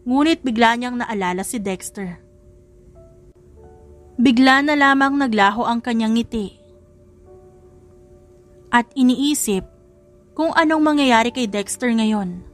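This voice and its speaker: A woman reading a scripted romance story as a dramatic audiobook narrator. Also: a little high.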